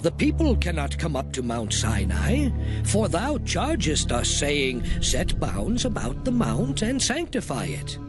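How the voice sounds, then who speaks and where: Moses, the earnest prophet, speaks in a high, lively voice that rises and falls with feeling. His pleading lines play over orchestral music in a dramatized scripture production. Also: a little slow.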